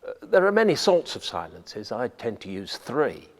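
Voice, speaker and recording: A man giving scripted instructional narration as a radio playwright, measured and deliberate with emphatic phrasing. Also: mid-range voice, wildly swooping pitch, big-room sound, a little hiss or hum.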